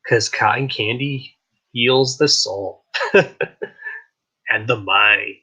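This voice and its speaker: A man's voice: medium pitch, extremely slow, sharp tone, slightly rough, highs cut off. Hyped casual man hosting a live stream. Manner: hyped, rambling, repeats "I kid you not". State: slightly raspy voice. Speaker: host of a live streamed talk show.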